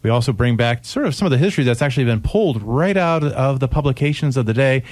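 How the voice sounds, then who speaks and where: A man's voice: medium pitch, animated, fast talker, a little mellow, no room sound. A man with an American accent explaining things as an interview guest in an easygoing, lightly playful way. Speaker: radio troupe performer, guest. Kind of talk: casual interview talk.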